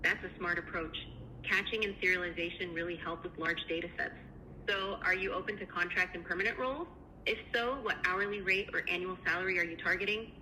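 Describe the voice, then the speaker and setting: A woman's voice: fairly low pitch, fairly even intonation, fairly quick, bright, forceful tone. A woman speaking as a polite, polished recruiter in a scripted screening interview on a noisy phone call.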